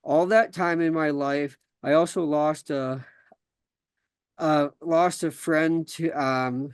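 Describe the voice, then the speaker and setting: A man's voice: fairly high pitch, steady tone, takes it slowly, clear, resonant tone, no room sound, studio quality. A young man from South Dakota hosting a podcast, talking casually with many fillers and restarts, then reading his own story aloud. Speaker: podcast host.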